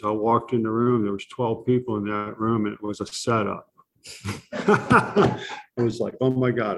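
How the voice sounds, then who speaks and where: A soft-spoken man sharing his recovery story at a support meeting, halting often with pauses and fillers. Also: on the low side, poor audio. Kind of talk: personal recovery share.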